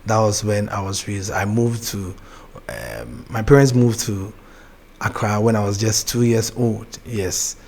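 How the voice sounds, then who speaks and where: A young Ghanaian man with a Ghanaian accent, a gospel artist chatting as an interview guest, soft-spoken, laughing easily and often restarting his sentences. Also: lowish voice, a little slow, creaky. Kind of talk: casual interview chat.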